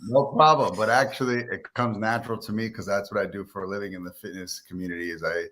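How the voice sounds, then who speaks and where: Deep-voiced man, upbeat podcast guest. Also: fairly even intonation, fast talker, a bit bright. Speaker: podcast guest, fitness entrepreneur. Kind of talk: conversational personal storytelling.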